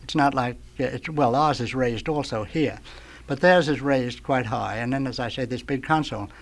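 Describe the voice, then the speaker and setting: An older man with a medium-pitched voice shares stories as a studio guest on a radio talk show. He is a veteran of the trade who rambles, stops and starts, and often hunts for the right word. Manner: rambling, halting, groping for words. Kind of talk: anecdotal storytelling on a talk show.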